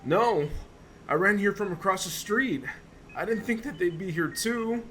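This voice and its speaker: A man performs a terrified patient in a horror audio drama. His voice sits a little high and comes out frantic and stammering, as if he is still being hunted. Clear dramatic music plays behind him. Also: lively intonation, measured pace, sharp tone, heavily acted, low-quality recording. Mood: panicked, frantic. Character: terrified, hysterical male patient who escaped a monster.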